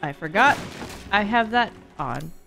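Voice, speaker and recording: A woman's voice: mid-range voice, expressive, unhurried, creaky, music in the background. A young woman giving casual, playful live commentary while streaming a video game, with mock exasperation. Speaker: streamer, speedrunner.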